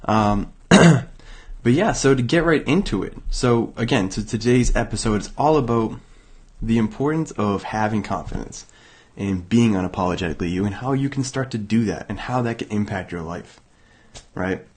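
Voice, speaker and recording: A young man from Massachusetts hosting a motivational self-help show, hoarse and raspy from illness, talking casually with many fillers and restarts. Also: lowish voice, animated, moderate pace. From Massachusetts, USA. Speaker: podcast host.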